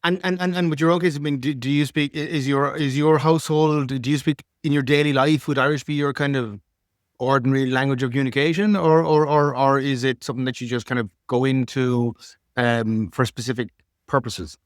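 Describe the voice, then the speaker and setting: A man's voice: medium pitch, steady tone, a little fast, no echo. A man hosts a podcast conversation in an ordinary mid-range voice. He stammers and restarts often, repeating small words before his questions lift at the end. The recording is close and dry. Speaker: podcast host. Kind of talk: interviewer, casual chat.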